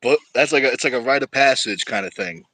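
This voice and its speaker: A man's voice: mid-range voice, expressive, quick pace, pushed and bright, dry recording. An easygoing man hosting a casual livestream and chatting with viewers about his sport. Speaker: livestream host. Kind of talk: casual livestream chat about arm wrestling.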